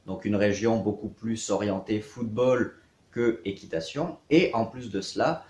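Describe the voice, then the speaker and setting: A man in his thirties from south-east France, a riding coach, introducing himself in measured, hesitant short phrases for an online course. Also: lowish voice, darkish tone. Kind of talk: scripted-outline self-introduction to camera for an online course. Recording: echoey, reverberant room.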